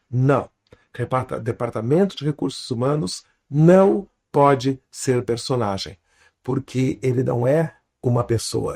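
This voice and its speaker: A man in an interview explaining storytelling with examples, speaking Portuguese learned in southern Brazil with a foreign accent and an animated teaching manner. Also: medium pitch, creaky, breathy edge. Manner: animated teacher, drops to quiet asides, tags lines with "tá?". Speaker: storytelling consultant, guest.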